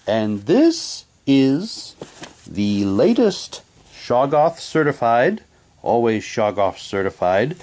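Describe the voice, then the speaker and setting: A man doing a casual unboxing, talking in a halting way and reading packaging text aloud like a hammy radio announcer. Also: mid-range voice, darkish tone. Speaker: vlogger unboxing a collectible audio drama CD.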